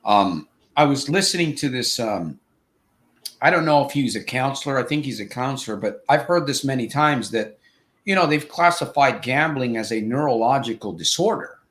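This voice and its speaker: A man in his fifties from Connecticut with a faint Connecticut accent, hosting a relaxed coaching interview. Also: medium pitch, moderate pace. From Connecticut, USA. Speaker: host and coach. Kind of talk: casual interview chat.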